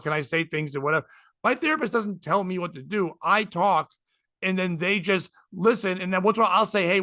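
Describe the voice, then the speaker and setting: A man's voice: sits high, pushed and bright, dry recording, clean studio sound. A man in his forties, a podcast host, chatting casually and candidly, rambling and swearing freely. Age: 40s. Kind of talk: casual chat.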